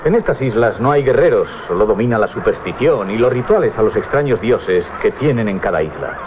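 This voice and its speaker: An actor playing a bold, curious sea captain in a radio drama, authoritative and used to giving orders. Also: male voice, fairly low pitch, expressive, brisk, natural voice. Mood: commanding, assured. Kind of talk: 1980s Spanish radio drama acting. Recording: dull, slightly noisy 1980s radio recording.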